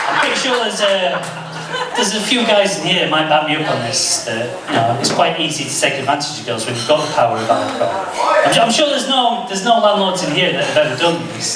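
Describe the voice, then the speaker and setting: A man in his thirties telling a self-deprecating stand-up story on stage, stumbling and restarting as he goes. His slightly high voice comes from a mic some way off, and the club around him rings with loud echo and heavy noise. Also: distant mic. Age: 30s. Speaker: stand-up comedian. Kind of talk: stand-up comedy set. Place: comedy club. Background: audience laughter.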